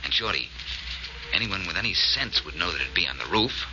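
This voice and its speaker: An actor playing a shrewd, confident sleuth with a clipped, wry, assured manner in a 1940s radio detective drama. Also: male voice, low-pitched, lively intonation, fairly quick. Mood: hushed, wry. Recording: dull, noisy old radio recording.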